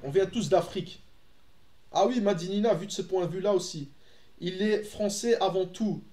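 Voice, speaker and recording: A man's voice: sits high, normal speed, mellow, roomy sound. A young Belgian man, a native Dutch speaker, chatting casually with his live-stream audience while playing, with lots of fillers and restarts. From Belgium, Antwerp. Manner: chatty, answers chat, frequent fillers and restarts. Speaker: gaming streamer. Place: room at home, indoors.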